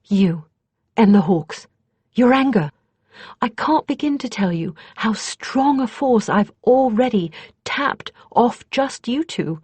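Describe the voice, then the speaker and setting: A woman's voice: fairly high pitch, expressive, slow, darkish tone, husky, dry recording, pitched above the performer's own voice, full character voice. Woman narrator doing a fierce confident sorceress, explains stuff with real emphasis, fantasy audiobook, dry recording. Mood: awed, intense. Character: confident, fiercely determined female mage and adept. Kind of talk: audiobook character dialogue.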